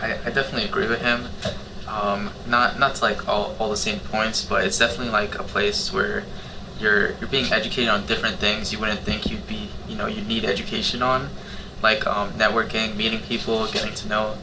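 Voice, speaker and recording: Young man, late teens, gravelly, even delivery, hesitant casual talk, clear background noise. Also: lowish voice, a little fast. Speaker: youth volunteer organizer.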